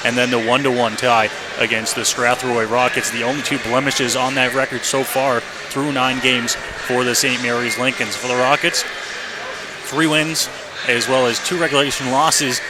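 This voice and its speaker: A man doing live radio play-by-play commentary before a hockey game, as the announcer. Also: mid-range voice. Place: indoor hockey arena. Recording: noisy live rink broadcast. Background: clear background arena noise.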